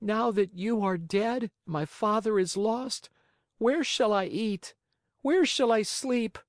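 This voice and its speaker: A storyteller voicing an emotional young wooden puppet boy in a high, childlike, pleading voice. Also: slow, dry recording, studio quality. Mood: tearful, pleading. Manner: emotional, wailing, eager.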